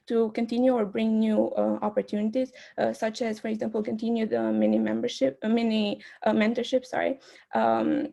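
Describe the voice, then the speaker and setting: Hesitant gravelly young woman. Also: a little high, mellow. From Albania. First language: Albanian. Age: young adult. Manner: hesitant, many uh and um fillers. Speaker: student club board candidate. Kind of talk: self-introduction campaign speech.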